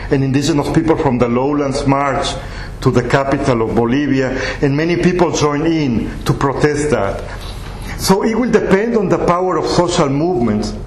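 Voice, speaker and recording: A man giving a guest lecture, explaining in a measured way with slightly non-native phrasing, then answering questions from the audience. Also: a little high. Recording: echoey hall, faint room noise.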